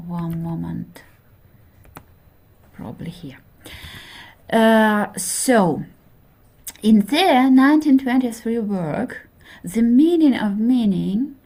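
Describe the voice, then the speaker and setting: Slow, hesitant woman lecturing. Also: fairly high pitch, darkish tone. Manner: hesitant, many fillers, checks in with okay. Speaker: linguistics lecturer. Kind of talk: introductory university lecture.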